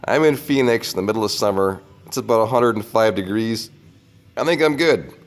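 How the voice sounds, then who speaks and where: A man's voice: fairly low pitch, faint music behind. A man working as a mechanic, explaining a repair step by step while congested from a slight cold. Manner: plain, unhurried how-to explaining. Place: auto repair shop.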